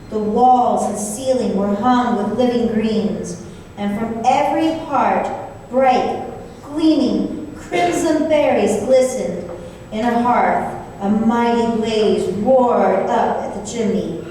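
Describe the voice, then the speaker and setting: Casting: female storyteller for radio drama, fairly high voice, unhurried, in a very echoey hall. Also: mellow. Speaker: narrator. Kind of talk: scripted storytelling in old-time radio performance. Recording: distant, echoey hall, faint noise.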